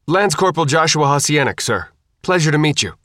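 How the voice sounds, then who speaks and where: A man's voice: on the high side, expressive, fairly quick, a bit bright, no room sound, pitched above the performer's own voice. An earnest young Marine lance corporal, acted out by a male narrator. He speaks in precise, formal barks as in a military report. It is audiobook dialogue, recorded dry. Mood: eager, formal. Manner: barking, precise military address.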